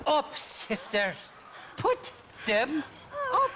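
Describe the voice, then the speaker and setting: An actor playing a wheedling, drunken Irish rogue in a video game, theatrical and mock-innocent. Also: male voice, very high-pitched, wildly swooping pitch, drawn-out words, noisy background, full character voice. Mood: drunken aggression. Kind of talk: video game cutscene acting.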